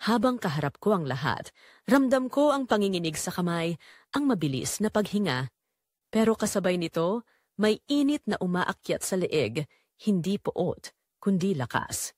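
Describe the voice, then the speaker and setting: Casting: female Filipino audio drama narrator, earnest and emotive, animated pitch, close dry recording. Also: normal speed, no echo. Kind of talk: scripted first-person dramatic narration.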